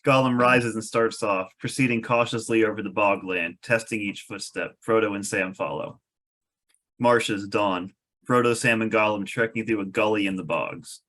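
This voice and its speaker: A man's voice: fairly low pitch, steady tone, moderate pace, a bit bright. A man reading out stage directions as narrator at a fantasy script table read, with a wry manner.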